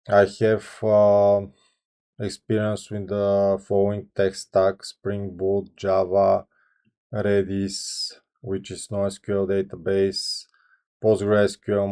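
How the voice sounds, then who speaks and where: A man in his thirties with a Bulgarian accent, a software engineer talking to camera without a script, hesitant, with many ums and restarts. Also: on the low side, creaky. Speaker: software engineer channel host. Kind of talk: unscripted talk to camera.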